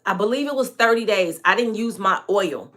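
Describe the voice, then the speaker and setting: A woman in her forties hosting a live hair care stream, chatting frankly with viewers and laughing often, her voice a bit hoarse and dry. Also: medium pitch, animated, moderate pace, a bit bright. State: hoarse and dry voice from staying up late. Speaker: natural hair care host and product maker. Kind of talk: live-stream chat answering viewer comments.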